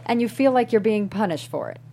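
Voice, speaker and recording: A woman's voice: medium pitch, animated, brisk, dark tone, a little hiss or hum, natural voice. An actress playing a frank, supportive female friend in a script table read, speaking directly, like someone giving counsel. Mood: frank, consoling.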